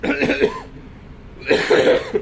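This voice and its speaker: A man preaching on a live stream with a hoarse voice that is recovering from illness. He clears his throat often and greets viewers by name between scripture readings. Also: extremely slow. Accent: Texas.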